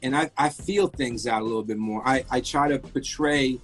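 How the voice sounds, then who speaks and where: A man's voice: medium pitch, normal speed, fairly bright tone, soft background music. A man in his fifties, born in France, telling his career story as a guest in a casual interview, candid and rambling with many restarts. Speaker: guest, music engineer.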